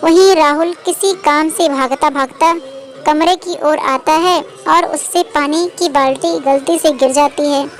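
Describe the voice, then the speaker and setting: High voiced lady reading out a moral story, storyteller host. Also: a little slow. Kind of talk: scripted moral story narration.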